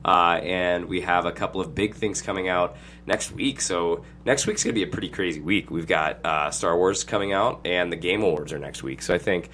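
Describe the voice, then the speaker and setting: Hoarse dude hosting his podcast, lowish voice, rambles n jokes, bit of background hum. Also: normal speed, fairly bright tone. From Ohio, USA. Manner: rambling, jokey, lots of fillers. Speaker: podcast host. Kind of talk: casual podcast chat.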